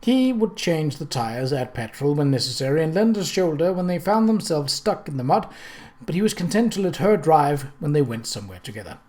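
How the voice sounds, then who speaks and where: A man's voice: a little high, very animated, fairly quick, a little mellow. A man reading a novel aloud as an audiobook narrator, in scripted storytelling prose.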